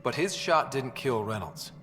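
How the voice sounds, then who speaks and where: An actor playing a level-headed young space explorer in a video game, plain-spoken and respectful. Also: male voice, medium pitch, big pitch swings, unhurried, a bit bright. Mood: serious, assured. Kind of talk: video game cutscene acting. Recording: reverberant hall with background music.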